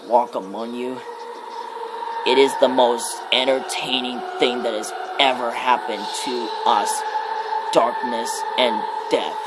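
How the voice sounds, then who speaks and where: A menacing demonic embodiment of fear and death, performed by a man in an ASMR horror roleplay. The delivery is very unhurried and ominous, with drawn-out pauses between phrases. Clear background noise runs under the voice. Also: medium pitch, natural voice.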